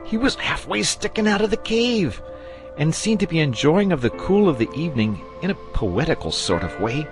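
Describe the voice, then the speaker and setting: A man performs a frightened country shepherd for a children's storybook. His delivery is rustic and exclamatory, and his pitch leaps and swoops well upward as he frets. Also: big pitch swings, moderate pace, music in the background. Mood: agitated, wondering. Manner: rustic dialect grammar, exclamatory. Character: agitated, superstitious rustic shepherd, a frightened father.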